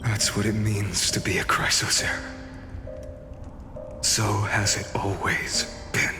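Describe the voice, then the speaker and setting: A man's voice: on the low side, flat delivery, vocal fry. A man playing a grave, weary hero of a doomed world in a fantasy video game, speaking with somber deliberation. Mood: grave, hushed. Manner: grave, deliberate, hushed at times. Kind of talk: dramatic video game voice acting. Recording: long reverb with music behind.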